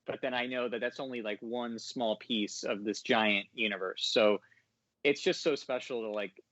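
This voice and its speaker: A man's voice: mid-range voice, steady tone, moderate pace, fairly bright tone, no room sound. Hesitant man, dry muffled recording. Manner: hesitant, stammering search for words. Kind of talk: casual rambling discussion. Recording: dull, very dry audio.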